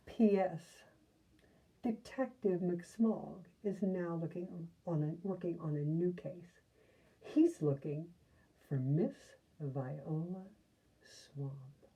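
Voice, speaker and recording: Slow, gentle woman reading a storybook. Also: mid-range voice, far from the mic, highs cut off. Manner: slow, gentle, asides to young listeners. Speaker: storybook reader. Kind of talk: reading a picture book aloud to children.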